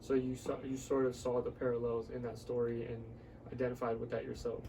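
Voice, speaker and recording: A man's voice: mid-range voice, completely flat delivery, fairly quick, gravelly, warm tone, distant mic, a little hiss or hum. A man hosting a casual conversational podcast interview, often echoing short words of agreement as he listens. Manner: frequent short affirming responses, repeats words.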